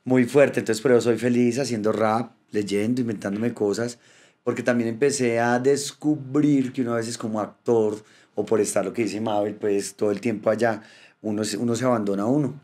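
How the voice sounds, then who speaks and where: A man, an actor and rapper chatting as a podcast guest, speaking in a lively, joking way on a studio microphone. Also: fairly low pitch, normal speed. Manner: playful, animated, joking. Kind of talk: casual podcast chat. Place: indoor studio with yellow backdrop. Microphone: studio mic on boom arm.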